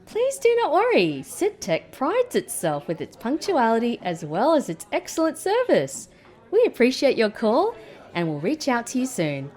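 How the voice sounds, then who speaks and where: An actress playing a guarded, sardonic former secret agent in an audio drama, polite and measured with a dry wit. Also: female voice, fairly high pitch, wildly swooping pitch, darkish tone. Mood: polite, professional. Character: guarded, sardonic woman, former secret agent turned call-centre worker.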